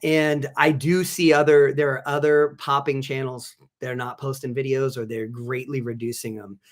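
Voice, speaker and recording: A man working as a physician assistant, slightly raspy from allergies, hosting a casual live stream and rambling through many restarts while reading out viewers' names. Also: mid-range voice, flat delivery, normal speed. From Southern California. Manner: rambling, many restarts and fillers, reads chat names aloud. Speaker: physician assistant and channel host.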